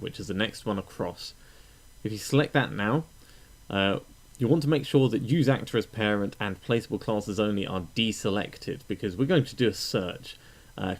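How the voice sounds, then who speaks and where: A man narrating a step-by-step software tutorial in a methodical, informal instructional way. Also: on the low side. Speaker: tutorial presenter. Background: voice-over for a screen recording.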